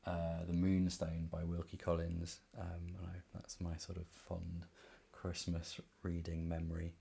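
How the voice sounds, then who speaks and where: A man, a musician, chatting casually between songs in a hesitant, low-key way. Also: completely flat delivery, a little slow, creaky, warm tone, husky. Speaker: musician presenting a concert.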